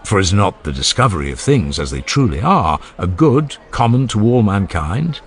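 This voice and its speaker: A man's voice: lowish voice, sing-song, moderate pace. A man reading the part of an earnest, genial ancient philosopher who questions relentlessly, step by step, in a dramatized audiobook dialogue. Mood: earnest, encouraging. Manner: rhetorical, emphatic, step-by-step questioning.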